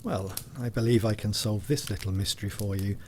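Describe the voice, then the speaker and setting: A man's voice: on the low side, a little fast, a little hiss or hum, close to an everyday voice. An actor playing a courteous, resolute male asylum doctor, formal and commanding, in an audio drama. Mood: reassuring. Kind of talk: theatrical audio drama acting.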